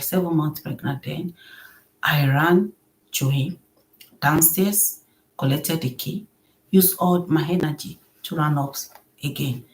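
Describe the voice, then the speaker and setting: A woman with a soft voice, earnestly telling an emotional personal story as a podcast host. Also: sits low, low-quality recording. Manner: earnest, emotional, acts out quoted speech.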